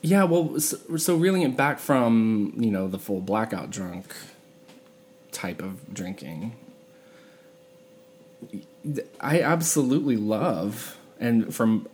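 A woman in her thirties co-hosting a podcast, chatting casually in a halting way and often interrupting herself. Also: extremely low pitch, darkish tone, a little hiss or hum. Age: 30s. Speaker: podcast co-host. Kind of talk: casual chat.

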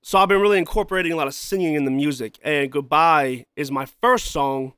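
A man's voice: a little high, brisk, a bit bright, smooth, dry recording. Man with a dry, throaty podcast voice. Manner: loose, rambling, repeats himself, frequent "you know" and "man". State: throat trouble, coughing and clearing throat. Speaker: podcast host and musician. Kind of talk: casual solo podcast talk.

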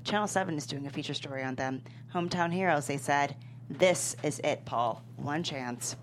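A woman acting a tough, wry, persuasive dealmaker in a script table read, assertive and creaky-voiced. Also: sits low, moderate pace, close to an everyday voice. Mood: assertive, sly. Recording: faint background hum.

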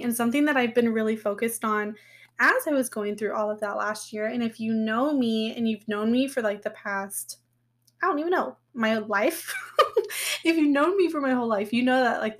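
Hoarse, chatty woman podcast host. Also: fairly high pitch. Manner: chatty and candid, with frequent fillers and laughs. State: slightly hoarse, recovering her voice. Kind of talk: casual personal podcast talk.

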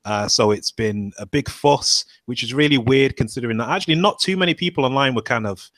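A man's voice: mid-range voice, fairly quick, fairly bright tone, dry recording. A man co-hosting a podcast, giving his opinions in a loose, conversational way. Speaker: podcast co-host. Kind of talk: casual podcast chat.

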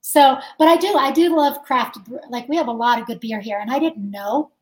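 A chatty woman with a high voice, speaking as an interview guest. She talks casually, laughs easily and keeps saying "like". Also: brisk, low-quality recording. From United States. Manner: chatty, laughs often, says "like" a lot. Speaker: guest musician.

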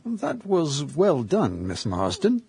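An actor playing a courteous Victorian butler in a radio drama, formal, deferential and understated in manner. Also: male voice, on the high side, wildly swooping pitch, takes it slowly, creaky, dark tone, slight background noise, heavily acted. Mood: quietly approving.

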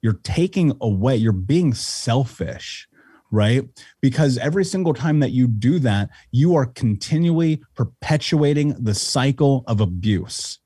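A man's voice: medium pitch, expressive, unhurried, mellow, slightly rough, no room sound, clean studio sound. A man talking as a podcast guest in a blunt, intense and profane way, in casual conversation.

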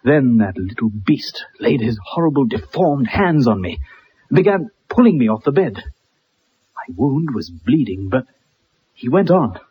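An actor playing a bitter, haunted young ex-soldier in a dramatic 1960s radio play. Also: male voice, medium pitch, big pitch swings, a little slow, husky, heavily acted. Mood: haunted, horrified. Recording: muffled, dull old radio recording.

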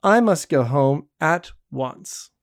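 A man voicing a spirited young woman who teases and gives orders, raising his voice high and lively for her. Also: takes it slowly, dry recording, clean studio sound. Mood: commanding. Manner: teasing, imperious.

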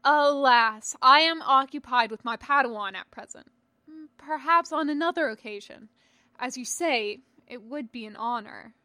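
A principled warrior-monk and teacher, voiced by a woman speaks with firm, formal resolve in a space-fantasy audiobook. The delivery is unhurried and close, with a dry, roomless sound. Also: sits high, pushed and bright, no room sound. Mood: stiffly polite. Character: principled, resolute male Jedi knight and patient teacher.

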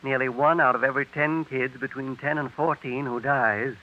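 An actor playing an earnest, indignant medical researcher, emphatic and declamatory in 1930s radio drama style. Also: male voice, mid-range voice, fairly even intonation, normal speed, natural voice. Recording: dull lo-fi vintage radio recording.

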